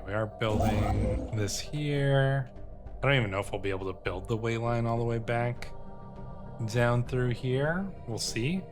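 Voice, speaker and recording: A man giving casual gameplay commentary, thinking aloud tentatively and sometimes reading game text out. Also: on the low side. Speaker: video game streamer and host. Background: game music.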